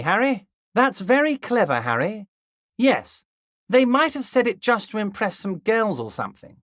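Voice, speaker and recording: A man playing a smug, wily old union boss in a video game, folksy and insinuating, with mock modesty and drawn-out emphasis. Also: high-pitched, a bit bright, no echo, clean studio sound. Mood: sly, amused. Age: older. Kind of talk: video game character dialogue.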